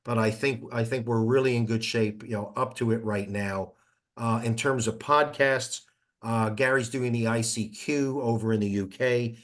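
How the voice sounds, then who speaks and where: A man giving an informal update on an online call in a halting, conversational way. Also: mid-range voice, steady tone, a bit bright. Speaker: meeting participant giving updates. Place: online teleconference.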